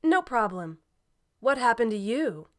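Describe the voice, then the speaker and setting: A woman's voice: a little high, big pitch swings, measured pace. A woman playing a cool, self-assured female bat spy in a cartoon audio drama. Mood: concerned.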